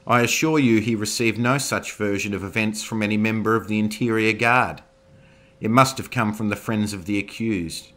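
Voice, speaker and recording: A man voicing a female commander who presses accusations forcefully before a tribunal. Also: lowish voice, big pitch swings, moderate pace, few pauses, exaggerated voice. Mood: indignant.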